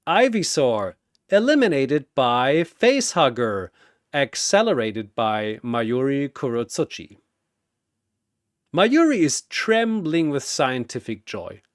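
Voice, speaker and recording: A man narrating a scripted fantasy battle story dramatically, with theatrical, deliberate phrasing. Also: medium pitch, sing-song, no room sound, clean studio sound.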